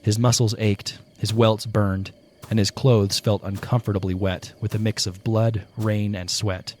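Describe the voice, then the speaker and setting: A man narrating a scripted fantasy audio play with dramatic, deliberate storytelling. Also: fairly low pitch, level tone, darkish tone, slight background noise. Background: prominent music.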